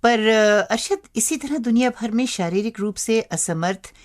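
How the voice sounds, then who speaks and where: A woman co-hosting a radio show, talking through a scripted chat in an expressive, conversational way. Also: on the high side, measured pace. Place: radio studio.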